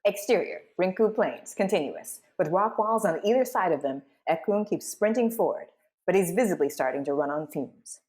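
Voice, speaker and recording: A woman's voice: lowish voice, lively intonation, moderate pace, a little mellow. A woman hosting a live table read and narrating the scripted scenes. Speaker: host and narrator.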